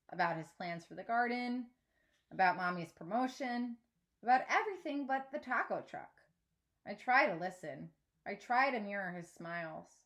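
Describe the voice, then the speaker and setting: A woman narrating a story aloud in a measured, expressive read-aloud style, like a teacher reading to pupils. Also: medium pitch.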